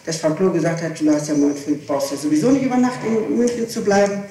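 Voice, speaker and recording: A woman's voice: on the low side, steady tone, quick pace, dark tone. A woman answering questions in a recorded police interrogation, explaining herself insistently. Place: echoey room, far from the microphone. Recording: echoey distant archival tape with hiss.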